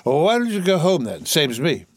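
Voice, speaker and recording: Man reading as a drunk, giggly rich boy, slurring and lurching, higher and louder than his narration, dry close sound. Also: very animated, fairly quick, no echo. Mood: tipsy. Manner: slurred, laughing, lurching speech. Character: drunk rich young man, about eighteen, merry.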